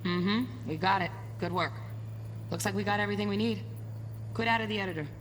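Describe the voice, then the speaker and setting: A woman's voice: medium pitch, brisk, in a large hall, a little hiss or hum, close to an everyday voice, rough recording. A woman playing a confident, streetwise tech hacker in a cyberpunk game, with a clipped, matter-of-fact delivery. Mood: focused, businesslike. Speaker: hacker in a heist crew. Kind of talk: naturalistic video game acting.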